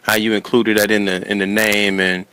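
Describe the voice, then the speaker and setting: A man hosting a casual group interview, animated and often repeating his phrases and questions. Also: fairly low pitch, normal speed, clean tone, no room sound, low-quality recording. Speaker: talk show host.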